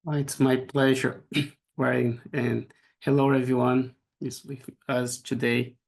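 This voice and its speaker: Gravelly Brazilian-accented man lecturing. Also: mid-range voice, flat delivery, darkish tone. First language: Portuguese. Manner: halting, frequent restarts and repeated words. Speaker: guest speaker. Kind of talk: academic seminar lecture. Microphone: video call.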